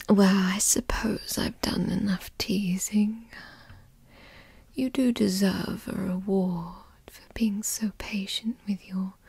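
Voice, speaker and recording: A woman playing a tall, dominant, doting girlfriend in a whispered ASMR roleplay, teasing and coddling softly, close to the microphone. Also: fairly high pitch, no room sound, heavily acted. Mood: teasing, affectionate. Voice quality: soft, breathy whisper.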